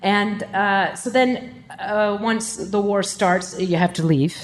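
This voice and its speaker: A woman's voice: medium pitch, takes it slowly, poor audio. A woman from Scotland hosting a podcast, asking chatty follow-up questions. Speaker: podcast host. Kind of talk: casual interview.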